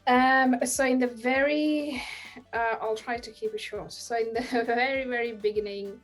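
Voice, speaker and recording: A woman in her thirties speaks as a guest over a video call from home. Her pitch stays level and even, and she talks earnestly, often restarting sentences as she hunts for words. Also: a little slow, soft background music. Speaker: podcast guest. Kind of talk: casual video-call interview. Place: home room.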